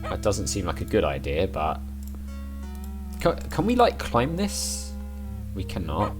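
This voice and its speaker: A man with a croaky, worn voice, chatting casually as he plays through an adventure game and thinks aloud. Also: deep voice, huge pitch swings, measured pace, over music. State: croaky voice, recovering from overuse. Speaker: let's play gamer.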